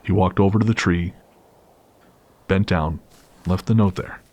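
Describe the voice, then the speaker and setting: A man reading a scripted dramatic story as narrator, measured and grave. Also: deep voice, steady tone, darkish tone. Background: faint music.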